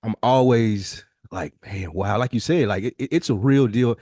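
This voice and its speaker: Low, dry-miked American man bantering. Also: moderate pace, warm tone, no echo. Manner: informal, slangy, frequent swearing. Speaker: podcast host. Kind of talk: casual banter and chat between friends.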